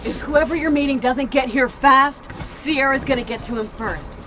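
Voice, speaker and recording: A woman's voice: high-pitched, moderate pace, lightly acted. An actress playing a hard-bitten, exasperated woman agent, brusque and commanding, in a sci-fi thriller audio drama. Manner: brusque, foul-mouthed, commanding. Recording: noisy moving vehicle ambience.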